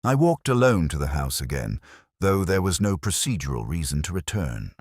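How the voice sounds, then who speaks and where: A man playing a melancholy, reflective police inspector who narrates a case in a measured, literary way, as in a scripted audio drama. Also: deep voice, big pitch swings, darkish tone, no room sound, clean studio sound. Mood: somber, reflective.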